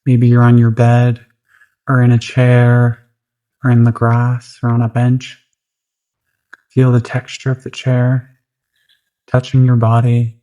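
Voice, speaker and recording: Meditation guide: mid-pitched, very flat delivery, gentle and soothing, unhurried with roomy gaps between phrases.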